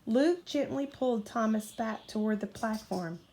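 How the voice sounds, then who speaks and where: A woman reading a children's bedtime story aloud as a mother would, with expressive delivery. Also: fairly high pitch, normal speed, rough recording. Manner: expressive, acts out character dialogue.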